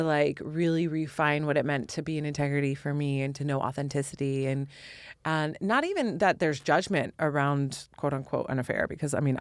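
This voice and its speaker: A woman's voice: deep voice, dry recording, clean studio sound. An American woman from the Midwest speaking as a podcast guest, reflective and earnest with a playful, casual streak. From Indiana, US Midwest. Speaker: podcast guest, spiritual teacher. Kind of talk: reflective conversational interview.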